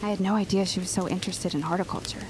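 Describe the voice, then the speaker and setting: A woman's voice: on the low side, steady tone, racing, noisy background, close to an everyday voice. A woman playing an eager, inquisitive and loyal neighbour in a period audio drama, with earnest, old-fashioned phrasing. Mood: intrigued, hushed.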